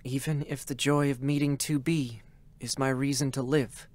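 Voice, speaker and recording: An actor playing a gentle, dying young male android in a dramatic reading, with a light, breathy voice and halting, tender phrases. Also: medium pitch, level tone, lightly acted. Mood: quietly resolute. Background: faint background music.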